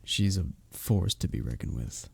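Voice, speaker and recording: Man acting a husky, lively medieval innkeeper. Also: sits low, moderate pace, dry recording, heavily acted. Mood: amused, teasing. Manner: old-fashioned formal wording, teasing storyteller. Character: flirty, good-humoured male innkeeper of a medieval fantasy village. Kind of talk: scripted roleplay audio acting, intimate ASMR.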